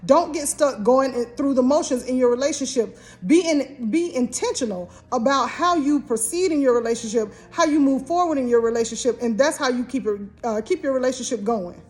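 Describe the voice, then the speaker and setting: A woman's voice: sits high, fairly quick, few pauses, a little mellow. An American woman in her fifties co-hosting a casual relationship advice talk, speaking earnestly and directly to the viewer. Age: 50s. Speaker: co-host giving marriage advice.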